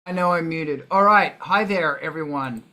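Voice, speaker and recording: Bright, high-voiced man hosting. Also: normal speed. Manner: sweary, jokey, rambling. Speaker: show host. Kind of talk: casual livestream chat.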